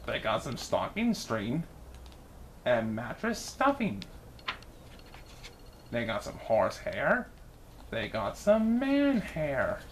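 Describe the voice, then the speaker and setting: A woman reading a children's story aloud in an expressive narrating style, with noise behind her. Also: lowish voice. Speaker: storybook reader.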